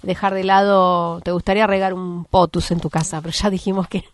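A woman with a Buenos Aires area Argentine accent, hosting an informal interview in a chatty, somewhat halting way. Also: lowish voice, flat delivery, slight background noise. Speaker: interview host.

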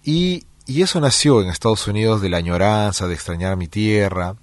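A middle-aged man from Peru, a musician giving interview answers in a relaxed storytelling way, with many fillers and restarts. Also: lowish voice, fairly quick, no room sound. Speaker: musician guest.

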